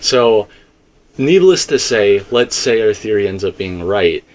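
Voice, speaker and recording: A man's voice: lowish voice, lively intonation, measured pace. A man co-hosting a casual, unscripted discussion, talking in a rambling, conversational way full of qualifications. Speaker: co-host of a video game theory discussion.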